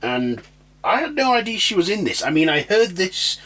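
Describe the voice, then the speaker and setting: A man hosting a casual, unscripted audio review, speaking haltingly and leaning on lots of filler phrases. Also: high-pitched, sing-song, fairly bright tone. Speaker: review channel host.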